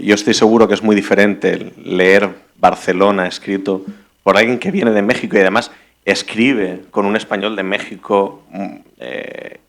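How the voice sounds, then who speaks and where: A man from Catalonia moderating a literary panel, asking long questions with many restarts. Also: lowish voice. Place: library event hall.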